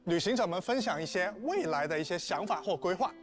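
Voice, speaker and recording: A man's voice: high voice, lively intonation, fast. A man hosting a game broadcast, presenting formally on behalf of the publishing team. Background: soft guitar music.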